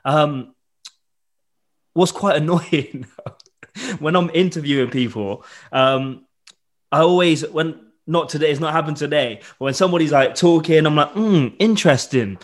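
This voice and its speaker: A man's voice: a little high, normal speed, long pauses. A man of thirty with a southern English accent, interviewing someone in a casual, conversational way.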